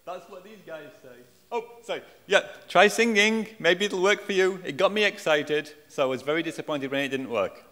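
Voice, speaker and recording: A man's voice: on the high side, normal speed, hardly any pauses, bright, forceful tone. A man in his forties with an English accent, answering audience questions on stage in a self-deprecating, jokey way. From England. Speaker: conference speaker. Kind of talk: audience Q&A after a talk. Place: large auditorium stage. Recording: echoey lecture hall.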